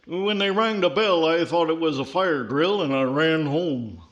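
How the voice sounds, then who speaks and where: Slow, dopey country bumpkin dummy. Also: male voice, a little high, expressive, highs cut off. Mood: dopey, cheerful. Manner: slow, drawling, dopey, hesitant. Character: dim-witted, good-natured country-bumpkin ventriloquist dummy. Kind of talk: 1940s radio comedy acting.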